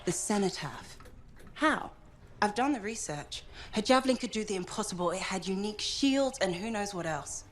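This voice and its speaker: A woman's voice: medium pitch, expressive, moderate pace, close to an everyday voice. A woman playing a poised, self-assured researcher and mission support specialist in a video game cinematic, composed and articulate. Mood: eager.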